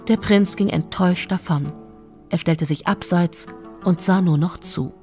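A woman narrator telling a scripted German fairy tale in a measured, expressive storytelling manner. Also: fairly low pitch. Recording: music behind the voice.

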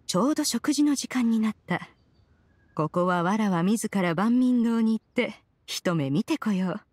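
A woman's voice: medium pitch, lively intonation, moderate pace, a little mellow, slightly rough, no room sound. Game role: haughty ageless female spirit, mid-range voice, archaic and dignified, aloof but caring. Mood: composed, approving. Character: proud, ancient female adeptus spirit, aloof yet caring. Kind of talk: Japanese game voice acting. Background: music.

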